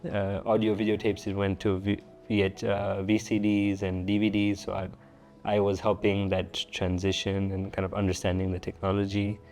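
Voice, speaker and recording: A middle-aged man from Tanzania speaks as an interview guest, relaxed and unhurried, with frequent fillers and small restarts. His voice sits low and mellow, and he keeps it fairly flat. Soft music plays faintly behind him. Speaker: founder and guest. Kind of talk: casual interview talk.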